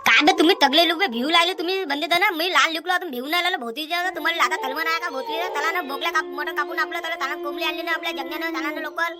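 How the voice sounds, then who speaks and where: A performer voicing a brash, swearing village young man with a Vidarbhi Marathi accent, in a rapid, scolding style. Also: extremely high pitch, steady tone. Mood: bossy. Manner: rapid, scolding, abusive banter. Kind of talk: cartoon comedy voice acting.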